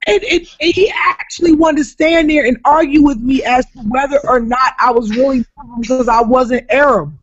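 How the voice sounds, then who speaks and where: A woman from Jacksonville, Florida with a raspy voice, telling profane stories in casual chat as a comedian guest, often laughing loudly. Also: sits high, normal speed, hardly any pauses. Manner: brash, profane storytelling with loud laughter. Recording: dull lo-fi podcast audio.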